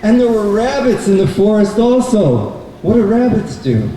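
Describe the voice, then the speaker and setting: A man presenting a children's puppet show, telling a story deliberately to a live audience and putting questions to the kids. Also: sits high, sing-song. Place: indoor bookstore event, live. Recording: echoey room, distant mic, faint noise.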